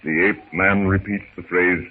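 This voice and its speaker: Deep, husky vintage radio narrator. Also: male voice, steady tone. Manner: dramatic, measured storytelling. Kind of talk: 1930s radio serial narration. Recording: very muffled 1930s radio recording.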